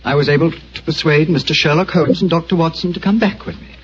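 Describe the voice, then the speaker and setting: An actor playing an anxious, earnest young gentleman who speaks hesitantly, on an old radio recording. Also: on the high side, quick pace, natural voice. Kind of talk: vintage radio acting. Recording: dull old radio recording, faint hiss.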